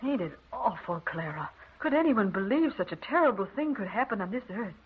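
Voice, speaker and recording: An actress playing a credulous, aghast gossip with a folksy, wondering manner in an old-time radio drama. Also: female voice, medium pitch, lively intonation, brisk, a little hiss or hum, natural voice. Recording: tinny, narrow old radio recording.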